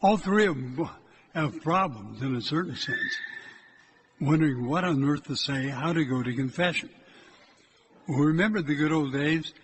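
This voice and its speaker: An older priest preaches to his parish in a loud, direct voice that sits a little high for a man. His words ring out through a church with a long echo, and a faint hiss sits under the recording. He talks plainly and does not keep his voice low. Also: lively intonation, moderate pace. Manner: loud, hard time keeping his voice low. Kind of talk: church exhortation. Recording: echoey church from a distance.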